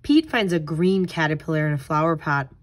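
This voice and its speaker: A woman's voice: deep voice, animated, fairly quick. A woman tutor reading aloud and giving simple step-by-step instruction for young children. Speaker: tutor reading a picture book and leading a craft.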